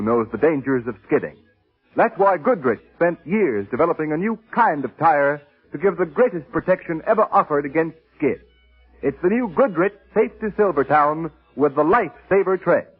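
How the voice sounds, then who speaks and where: A man's voice: a little high, breathy edge. A man announcing in the emphatic, declamatory style of a 1930s radio advertisement. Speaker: announcer reading a sponsor message. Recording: tinny old radio recording, music behind.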